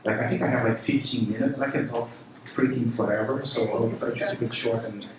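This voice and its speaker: A man with a Brazilian Portuguese accent gives a keynote at a live event, casual and animated, stumbling over fillers and restarting sentences. His mid-pitched voice is picked up from a distance and rings around a very echoey room, with faint noise underneath. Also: a little fast. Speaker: conference speaker, marketing chief. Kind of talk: keynote presentation.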